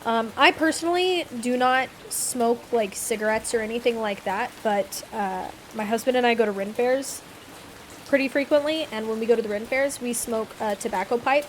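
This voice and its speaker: Higher-pitched woman streaming a game. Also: normal speed, slight background noise. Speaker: live streamer. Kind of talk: casual game stream commentary, reading on-screen text aloud. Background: game music.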